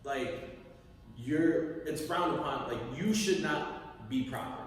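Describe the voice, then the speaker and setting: A young man from Connecticut chats casually on a podcast, animated and profane, acting out other people's lines as he tells a story. He sits back from the mic, and his voice echoes around a reverberant room. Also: on the high side, slow pace, far from the mic. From Connecticut, USA. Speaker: podcast co-host. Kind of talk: casual chat.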